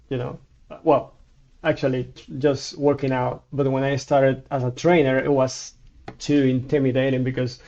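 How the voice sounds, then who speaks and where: A man in his thirties from Cuba with a Cuban Spanish accent, a native Spanish speaker talking haltingly as a guest in a casual interview, with many restarts. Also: mid-range voice, darkish tone, dull audio.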